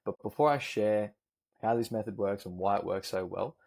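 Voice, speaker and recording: A man from Australia with an Australian accent, a nutrition coach making an upbeat, persuasive pitch that lists points one after another. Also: normal speed, creaky, darkish tone. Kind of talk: sales pitch video.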